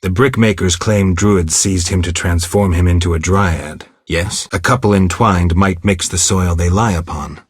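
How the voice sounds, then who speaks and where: A man playing a stoic, blunt monster hunter in a video game, with a gravelly, husky voice and a terse, deadpan manner. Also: deep voice, normal speed, few pauses, no echo, clean studio sound. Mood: level, terse. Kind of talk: video game dialogue acting.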